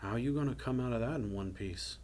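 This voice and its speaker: A man voicing a worried street urchin boy in a storybook, speaking in a hushed, slangy way. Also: mid-range voice, fairly quick, lightly acted. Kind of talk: storybook character acting.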